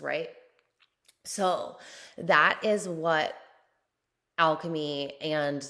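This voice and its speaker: Woman, young adult, low pitch, slightly raspy, enthusiastic, casual podcast monologue. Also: fairly bright tone. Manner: enthusiastic, filler-heavy, swears casually. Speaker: podcast host and spiritual coach. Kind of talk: casual monologue promoting a course.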